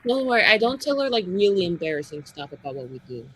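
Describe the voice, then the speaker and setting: A woman's voice: on the low side, big pitch swings, quick pace, slight background noise, rough recording. A woman chatting casually in character as a player in a tabletop game, playfully dramatic.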